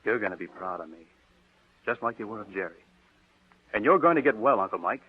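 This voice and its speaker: An actor playing a scheming young nephew who talks earnestly and stammers in a 1940s radio drama. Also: male voice, on the low side, lively intonation, fairly quick, slightly rough, dry recording. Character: scheming young male nephew feigning devotion. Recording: tinny old radio broadcast.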